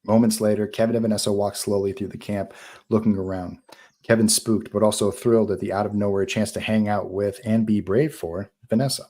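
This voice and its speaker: A man's voice: fairly low pitch, steady tone, a little fast, dark tone. A man narrating stage directions from a film script at a live table read.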